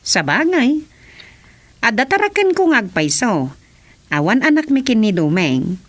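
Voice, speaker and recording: A woman's voice: a little high, big pitch swings, measured pace, no room sound. A woman playing a chatty, gossiping married villager in an Ilocano comedy radio drama, with an Ilocano accent. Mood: gossipy.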